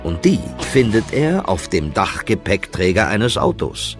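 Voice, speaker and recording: A man with a very low voice narrates a story for children. His delivery is dramatic and swings widely in pitch, with music playing behind him. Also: very animated, unhurried. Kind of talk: children's audio play narration.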